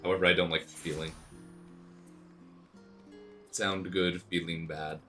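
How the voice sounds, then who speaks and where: A young man streaming a game, casually commenting in a raspy, hoarse voice from being sick and joking crudely. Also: low voice, level tone, a little slow, bright, forceful tone, faint music behind. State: hoarse from illness.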